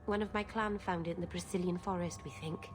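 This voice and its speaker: A woman acting a gentle, naive young elf mage in a video game, earnest and a little halting. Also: on the low side, steady tone, over music, close to an everyday voice. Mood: shy, flustered.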